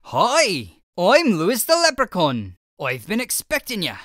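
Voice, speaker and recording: A woman's voice: mid-range voice, wildly swooping pitch, slow pace, fairly bright tone, no room sound, clean studio sound, heavily acted. Louis, a woman doing a cheerful leprechaun man. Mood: friendly. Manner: welcoming, explaining patiently. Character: cheerful male leprechaun shoemaker, friendly. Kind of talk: children's picture book read-aloud.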